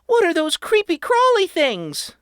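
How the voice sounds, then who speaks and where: A woman's voice: wildly swooping pitch, unhurried, no room sound, studio quality, full character voice. A woman voicing an easily frightened mother who cries out in alarm. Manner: shrill, frightened exclamations.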